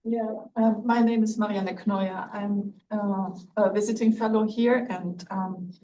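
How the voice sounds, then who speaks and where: A woman's voice: mid-range voice, steady tone, measured pace, mellow. A woman from Germany with a German accent, making a hesitant, roundabout and polite academic comment as an audience member. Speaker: visiting fellow, audience questioner. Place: lecture room audience. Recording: distant mic, faint room noise.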